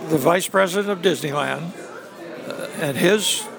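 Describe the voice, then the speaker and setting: Older man, gravelly and rambling. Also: on the high side, a crowd in the background, poor audio. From New England. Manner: rambling, halting, many fillers. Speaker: interviewee, former theme park ride guide. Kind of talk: casual reminiscing interview.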